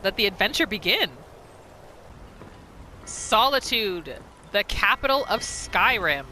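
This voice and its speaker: A woman with a bright, forceful voice at a middle pitch, narrating a roleplay game as a casual story. Faint ambience sits behind her. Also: big pitch swings, slow, slight background noise, poor audio. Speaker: gamer narrating a roleplay series.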